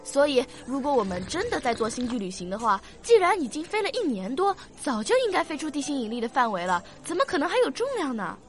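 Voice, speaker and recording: A woman's voice: sits high, big pitch swings, fast, few pauses, soft background music. A woman playing a curious, stubborn young daughter in a science-fiction radio drama, earnestly reasoning like a little scholar. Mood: eager arguing.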